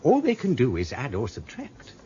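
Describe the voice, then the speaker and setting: A man's voice: mid-range voice, wildly swooping pitch, moderate pace, mellow. An actor playing a fussy, grumbling old British man who rambles and explains pedantically in a radio drama. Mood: pedantic, explaining. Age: older. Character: fussy, grumbling old man, former trainee computer operator. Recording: dull lo-fi radio recording with hiss.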